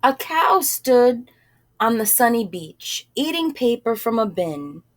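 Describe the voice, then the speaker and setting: A woman storyteller reading aloud to children informally, pausing between short phrases and putting questions to her listeners. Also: on the high side, very animated.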